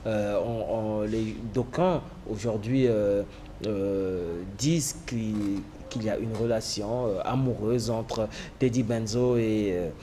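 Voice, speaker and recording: A man hosting a TV interview, speaking in a halting way with many hesitations. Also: on the low side, steady tone, dark tone, slight background noise. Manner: halting, many "euh" fillers. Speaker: TV show host.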